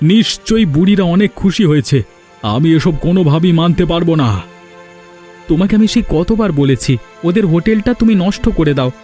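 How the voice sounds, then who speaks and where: A man's voice: on the high side, mellow, lightly acted. A man voicing a spiteful, scheming villager in a cartoon fairy tale, with a grumbling, conniving manner. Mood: vengeful. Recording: music behind the voice.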